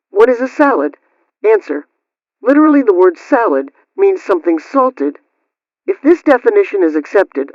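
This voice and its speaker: A man's voice: high-pitched, dry recording. A man reading scripted household advice as a radio host, in a formal, instructional, carefully enunciated manner, question then answer. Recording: dull lo-fi radio-style sound.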